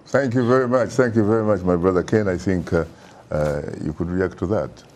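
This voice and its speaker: A man's voice: sits low, brisk, a little mellow, slight background noise. A man hosting a live phone-in talk show, courtly and effusive in his phrasing.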